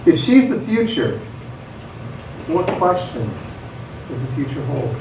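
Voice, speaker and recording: Man actor, lightly high-pitched, as a musing radio play narrator; distant, roomy theater sound with noise. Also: very animated, slow, exaggerated voice. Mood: reflective, wondering. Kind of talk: reflective scripted stage radio narration. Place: theater stage, recorded from a distance. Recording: echoey theater, distant mic, clear noise.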